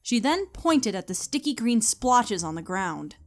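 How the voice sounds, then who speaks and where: A woman's voice: a little high, very animated, measured pace. A woman reading scripted story narration in a dramatic, storytelling style.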